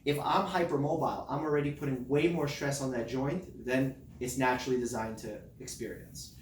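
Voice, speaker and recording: A man who is a doctor, calmly explaining health matters in plain everyday words during a casual chat. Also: mid-range voice, fairly quick, roomy sound, recorded from a distance, a little hiss or hum, rough recording. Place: hot room under studio lights.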